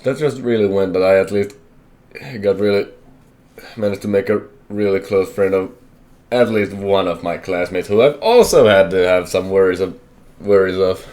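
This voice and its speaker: Deep-voiced young man, halting and candid. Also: a little mellow. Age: young adult. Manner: halting, hesitant, self-correcting, candid. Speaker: vlogger. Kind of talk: personal life update.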